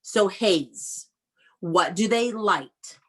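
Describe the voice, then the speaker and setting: A woman's voice: medium pitch, extremely slow, a bit bright, breathy edge. A woman teacher reading aloud to young children in an interactive video-call lesson, instructing and prompting with questions and repetition. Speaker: elementary school teacher.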